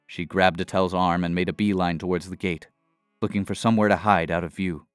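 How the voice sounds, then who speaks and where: A male storyteller narrates a fantasy adventure in a deep, smooth voice. His delivery is measured and dramatic, recorded dry and close, with music playing underneath. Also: level tone, dry recording. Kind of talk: scripted fantasy audio narration.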